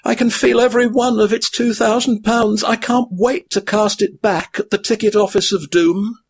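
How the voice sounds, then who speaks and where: A man doing a high whiny hobbit. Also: fairly even intonation, moderate pace, husky. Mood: weary. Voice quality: high strained squeak. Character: anxious young male hobbit, whiny.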